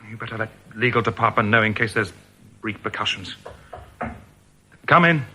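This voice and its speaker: An actor playing a flustered, irritable department store manager in a radio drama, formal and fussy in manner. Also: male voice, fairly low pitch, moderate pace, sharp tone, slight background noise. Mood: irritated. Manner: obsequious to customers, curt to staff.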